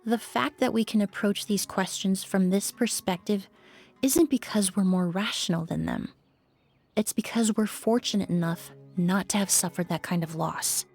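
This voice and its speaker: A woman's voice: mid-range voice, normal speed, a little mellow, faint music behind, close to an everyday voice. An actress playing a composed, analytical young woman investigator in a video game, earnest and articulate. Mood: earnest, resolute. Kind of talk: video game character acting.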